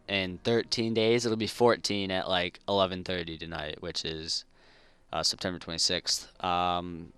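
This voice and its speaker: A man's voice: lowish voice, fairly bright tone, clean tone, no room sound, clean studio sound. A teenage man giving casual commentary on his game setups, speech slightly slurred by a dental appliance, sick, rambling with lots of fillers. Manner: rambling, filler-heavy, informal gamer slang. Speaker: competitive gamer and video host. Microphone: gaming headset mic.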